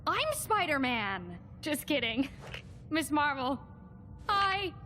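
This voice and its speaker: A woman's voice: high-pitched, big pitch swings, slow pace, slight background noise, exaggerated voice. A woman voicing an upbeat, cheeky young superheroine in a video game, breezy and playful. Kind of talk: animated video game acting. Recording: loud music behind.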